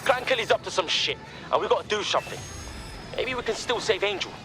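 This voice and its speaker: Loyal male hacker, high pitch, animated, urgent, game dialogue, background music. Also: quick pace, background noise. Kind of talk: video game voice acting.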